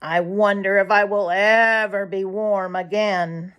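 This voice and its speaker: A woman's voice: mid-range voice, fairly even intonation, slow, fairly bright tone, clear, resonant tone. Lady doing a meek hungry jay fella for a kids story, polite, pleading, kinda mumbly. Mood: weary. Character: meek, starving, very polite male blue jay. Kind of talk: children's storybook character voice.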